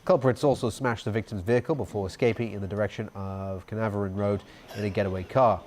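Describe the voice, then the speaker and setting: A man reading the evening news as anchor, in a steady, formal delivery. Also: on the low side, quick pace, slight background noise.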